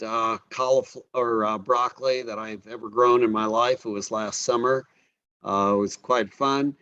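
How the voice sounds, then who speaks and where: A man in a casual online presentation, speaking at an easy middle pitch. He pauses and fills gaps as he walks through pictures. The sound is dry and a little dull. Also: clear, resonant tone, no room sound. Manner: relaxed, halting with many fillers. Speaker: host presenting slides.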